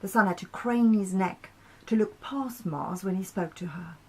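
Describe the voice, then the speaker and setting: A woman narrating a short story in an expressive storytelling style. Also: mid-range voice, moderate pace, slightly rough, low-quality recording. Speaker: author reading her own short story.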